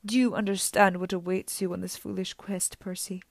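A woman's voice: on the low side, fairly even intonation, a little slow, no echo, natural voice. A woman voicing a coaxing, sinister old female monster. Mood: quietly coaxing.

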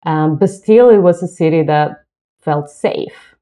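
A woman, talking as an interview guest in a relaxed, thoughtful way with soft laughs. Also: low-pitched, measured pace. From southern Chile. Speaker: guest, lighting designer. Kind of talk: casual interview talk. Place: home.

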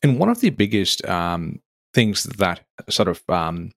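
A young man from Nepal, hosting an interview in a relaxed, chatty way with lots of "um" and "like". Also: deep voice, measured pace, gravelly, dry recording, studio quality. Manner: relaxed, chatty, many fillers like "um" and "like". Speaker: podcast host. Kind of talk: casual interview conversation.

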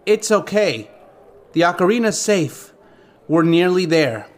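Man as small female fairy, feisty, caring, higher pitch, storybook fantasy. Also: lively intonation, measured pace. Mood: hurried, reassuring. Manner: earnest, spirited.

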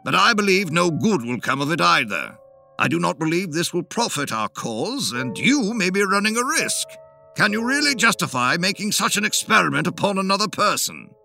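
A grave, careful Victorian gentleman, read by a male narrator who weighs every word of his objection. His voice is lively in pitch yet measured in pacing, with a bright edge. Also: vocal fry, faint music behind, full character voice. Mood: wary. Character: cautious, wary Victorian gentleman, club member.

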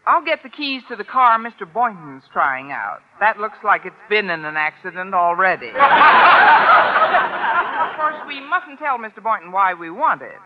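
An American actress playing a wry, scheming high school teacher with a dry, mock-deferential manner in an old-time radio comedy. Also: female voice, lively intonation, moderate pace, noisy background, natural voice. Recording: muffled vintage radio recording.

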